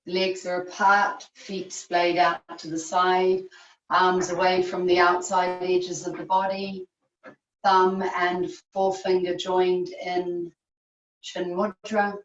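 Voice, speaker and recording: A woman teacher leading a guided yoga relaxation in measured short phrases with long pauses, recorded over a video call. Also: mid-range voice. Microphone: video call, at a distance. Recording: distant, echoey, muffled video-call recording.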